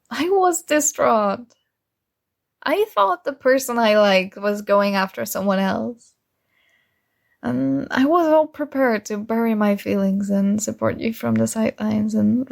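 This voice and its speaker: A woman's voice: medium pitch, vocal fry, darkish tone. A woman playing a kind, earnest and oblivious female friend in an intimate ASMR roleplay, speaking casually and a little hesitantly. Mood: sheepish, affectionate. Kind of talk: ASMR roleplay, intimate one-sided dialogue.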